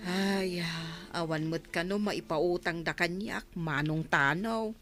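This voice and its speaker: A woman's voice: on the low side, takes it slowly, fairly bright tone, faint music behind. A woman playing a worried, pleading grandmother in an Ilocano radio drama.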